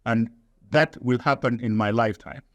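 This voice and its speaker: A man from Sudan, giving deliberate, emphatic interview answers in nonstandard English. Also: medium pitch, fairly bright tone, dry recording, muffled recording. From South Sudan. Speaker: guest, activist.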